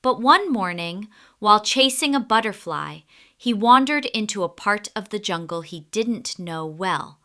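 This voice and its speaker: Woman, children's storyteller, slow pace, bright tone, expressive, deliberate, scripted narration. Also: medium pitch.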